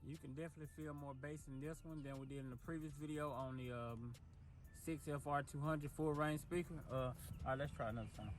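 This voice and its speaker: A man's voice: on the high side, steady tone, brisk, over loud music. A man hosting a product video, talking casually and informally to his viewers in a laid-back way. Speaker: host of car audio product video. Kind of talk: casual product demo talk. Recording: clear background noise.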